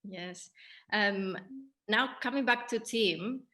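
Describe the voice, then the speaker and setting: A woman moderating a conversational post-show interview, phrasing her thoughts in a measured, halting way. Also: mid-range voice, sharp tone. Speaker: theatre director, Q&A moderator.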